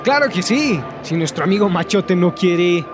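A man's voice: high voice, animated, normal speed, music in the background. A man with a Bolivian accent playing a cocky, domineering teenage bully in a radio drama, taunting and slangy. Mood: taunting, boisterous. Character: cocky, bullying, possessive teenage gang leader.

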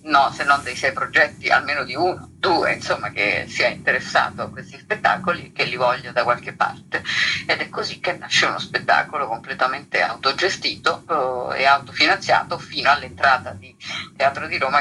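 A man's voice: mid-range voice, sharp tone, slight background noise, low-quality recording. A man talking casually as a radio interview guest, with an informal, colloquial and playfully ironic manner. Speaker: interview guest, theatre project organizer and tutor.

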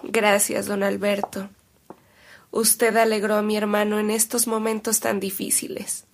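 An actress playing a bishop's dignified, courteous sister in a radio drama, speaking formally and politely. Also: female voice, medium pitch, completely flat delivery, measured pace, vocal fry. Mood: composed, courteous.

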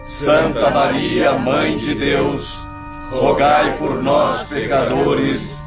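A man plays a devout figure in a radio drama, intoning prayer reverently and very slowly. Loud music surrounds the muffled voice. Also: on the high side, level tone. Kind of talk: devotional sung prayer in a drama.

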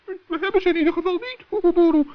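A performer playing a small, polite, anxious male forest gnome in a children's radio play, with a squeaky, hesitant voice. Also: fast. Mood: polite, puzzled. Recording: dull old radio play recording.

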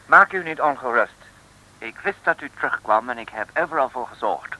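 A courteous English secret agent in an old radio serial. His English accent is sharp and bright, and the pitch swings about in a lively, exclamatory way. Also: brisk, rough recording. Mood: pleased, confiding. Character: chirpy, polite English secret service agent. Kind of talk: 1960s radio serial acting.

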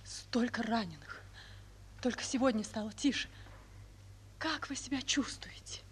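An actress playing a devoted young nurse in love in a Soviet radio drama, soft and earnest, on an old noisy recording. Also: female voice, on the high side, expressive, unhurried, whispered. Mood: tender.

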